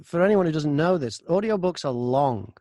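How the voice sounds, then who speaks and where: A man talking casually as an interview guest, telling anecdotes in an informal, animated way from a tiny home studio. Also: fairly high pitch, moderate pace, no room sound. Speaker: voice-over artist and audiobook narrator, interview guest. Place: very small closet converted to a studio.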